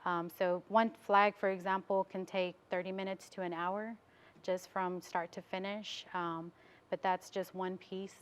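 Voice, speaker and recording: A woman's voice: mid-range voice, flat delivery, measured pace, clean tone. An American woman being interviewed as a business owner in a TV studio, explaining things in a casual way.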